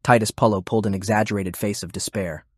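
A man's voice: on the low side, steady tone, fast talker, husky, no room sound, studio quality. A man reading scripted war fiction as an audiobook narrator.

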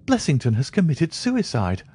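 A man's voice: huge pitch swings, normal speed, mellow, breathy edge, exaggerated voice. A man doing a frantic, shaken doctor. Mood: distraught. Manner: breathless, high-strung, wailing. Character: frantic, shaken middle-aged male doctor.

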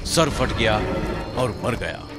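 A male storyteller narrates a horror cartoon at a middle pitch, his voice rising and falling a lot. Loud music runs under the whole narration. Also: lively intonation, slow pace.

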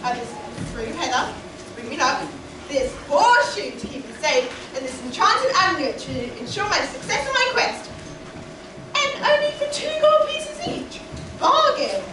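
A woman's voice: very high-pitched, big pitch swings, normal speed. A woman playing a naive, eager young pantomime adventurer with exclamatory, wide-eyed stage delivery. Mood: chirpy. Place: stage of a small theatre or hall. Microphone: far camera in the audience. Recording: echoey theatre from far off, noisy.

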